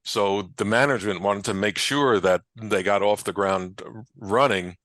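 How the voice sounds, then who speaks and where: A man speaking as a researcher and guest in a conversational panel discussion, explaining things in a halting way. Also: lowish voice, a bit bright, no room sound, clean studio sound. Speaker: radio historian and researcher, interview guest.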